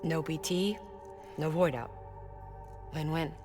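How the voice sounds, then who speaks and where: A woman playing an earnest, candid engineer in a video game cutscene, speaking in measured phrases with tenderness and quiet sorrow. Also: sits low, fairly even intonation, over music. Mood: matter-of-fact. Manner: measured, explanatory, short phrases with pauses.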